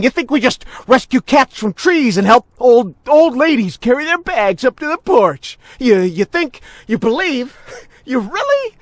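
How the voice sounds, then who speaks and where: A man playing a menacing, unhinged veteran policeman in a video game cutscene, mocking and theatrical, swinging from soft talk to shouting. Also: very high-pitched, dry recording, heavily acted.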